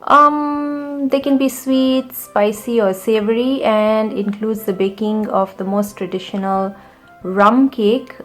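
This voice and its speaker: A woman from Mangalore in India calmly explaining to camera. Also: on the high side, slow pace, faint music behind. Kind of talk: casual self-introduction to camera.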